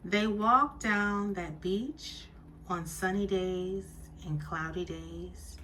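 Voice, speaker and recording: A woman telling a story as a guided meditation, soothing and deliberate, with hushed phrase endings. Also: lowish voice, a little hiss or hum.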